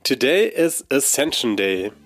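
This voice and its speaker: A man's voice: mid-range voice, very animated, unhurried, fairly bright tone, husky, dry recording, soft background music. Dry, close-miked male podcast host. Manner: friendly, curious. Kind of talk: scripted intro, then interview chat.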